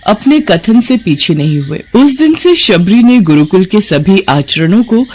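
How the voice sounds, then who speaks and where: A woman's voice: mid-range voice, big pitch swings, unhurried, a little mellow. A woman narrating a scripted devotional story.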